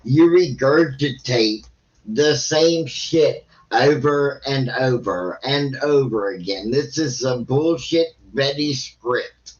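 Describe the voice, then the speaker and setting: A man's voice: medium pitch, expressive, slow pace, dull audio. A man giving blunt, scornful livestream commentary, swearing freely as he reacts. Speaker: live stream commentator.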